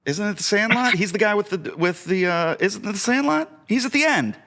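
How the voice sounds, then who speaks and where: A man's voice: high voice, animated, a little fast, sharp tone. A man co-hosting a podcast in casual, enthusiastic banter. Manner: enthusiastic film-buff banter. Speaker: podcast co-host. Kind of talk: casual chat.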